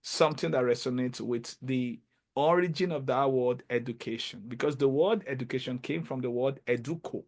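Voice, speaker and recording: A man hosting an interview podcast, speaking with enthusiasm and emphasis, often halting and repeating words. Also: medium pitch, darkish tone. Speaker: podcast host.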